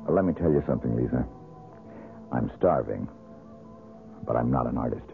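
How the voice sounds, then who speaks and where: An actor playing a bitter, brooding, tormented painter in 1970s radio drama style, sardonic and given to dramatic pauses. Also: male voice, a bass voice, lively intonation, creaky, full character voice. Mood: bitter, irritable. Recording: narrow-band old radio recording, music.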